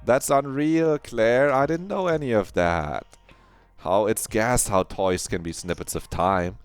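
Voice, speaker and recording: A man's voice: on the low side, a little slow, clear, resonant tone, soft background music. A man voicing a blunt, mocking teenage girl with a teasing manner. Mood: impressed.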